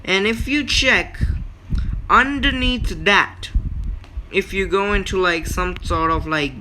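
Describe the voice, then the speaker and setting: Bright-voiced young-sounding gamer, slow explainer. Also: medium pitch, slight background noise. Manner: repetitive, emphatic explaining, checks with "okay?". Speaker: gaming video host. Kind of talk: casual gameplay walkthrough commentary.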